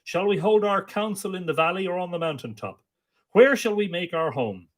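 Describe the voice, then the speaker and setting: A man's voice: sits high, animated, normal speed, voice pushed up. A man reciting the boastful chant of an ancient male druid poet, in a declaiming, incantatory way.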